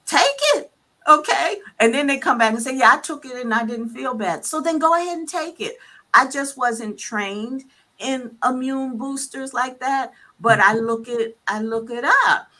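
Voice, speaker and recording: A woman's voice: high voice, a little slow, sharp tone. A woman physician talking earnestly in a casual panel conversation, pressing her points and tagging them with "okay?".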